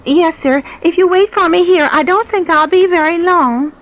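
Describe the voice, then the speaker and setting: A woman's voice: very high-pitched, moderate pace. An actress playing a timid, deferential young woman informant in old-time radio drama style. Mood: deferential, coaxing. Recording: muffled vintage radio recording.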